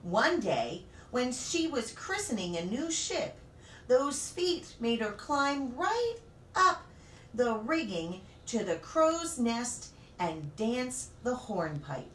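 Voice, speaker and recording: A woman reading a children's story aloud expressively, with deliberate phrasing and dramatic pauses. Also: fairly high pitch, very animated, fairly bright tone. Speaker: pastor reading children's stories. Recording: roomy, distant mic with faint noise.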